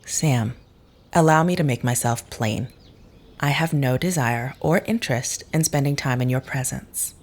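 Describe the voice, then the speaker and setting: A woman's voice: very deep voice, a little slow, darkish tone, heavily acted. A woman acting in an audio drama as an aloof healer, speaking formally, precisely and dismissively. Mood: cold, dismissive.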